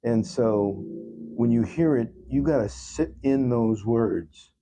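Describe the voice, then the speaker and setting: A man's voice: medium pitch, slow pace, mellow, a little hiss or hum, poor audio. A man speaking earnestly as an interview guest, reflecting on his songwriting and repeating phrases for emphasis. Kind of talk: reflective interview talk about own songwriting.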